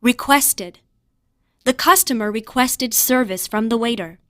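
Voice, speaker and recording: A woman with a fairly high voice reads out words and example sentences for a lesson. The sound is dry, with no room around it. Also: a little slow, bright, forceful tone, no room sound. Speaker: vocabulary narrator. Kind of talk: scripted vocabulary read-aloud with example sentences.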